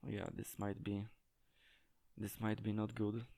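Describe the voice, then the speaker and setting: A man giving an unscripted gameplay tutorial, talking in a hesitant, halting way as he searches for words. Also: lowish voice, little pitch movement, creaky, a little mellow. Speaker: game tutorial presenter.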